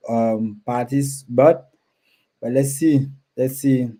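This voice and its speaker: A man with a dark, mellow voice at a middle pitch hosts a casual live stream. He speaks to his viewers informally and often repeats words and short phrases as he goes. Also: slow. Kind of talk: casual livestream chat, reading and answering viewer comments.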